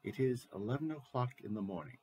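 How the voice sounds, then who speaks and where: A man in his sixties giving a measured, halting promotional announcement and reading to camera as an author, recorded on a webcam mic in a room at home. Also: mid-range voice, lively intonation, a little mellow, a little hiss or hum. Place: small room at home, indoors. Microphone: computer webcam microphone at desk distance.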